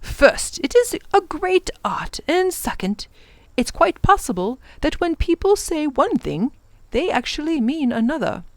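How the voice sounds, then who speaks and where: A woman's voice: sits high, sing-song, measured pace, dry recording, voice pushed up, full character voice. A woman voicing a fussy, kindly male professor in a storybook, exclaiming and instructing in an old-fashioned way. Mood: gently lecturing. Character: fussy, kindly male professor, easily flustered, rallying children.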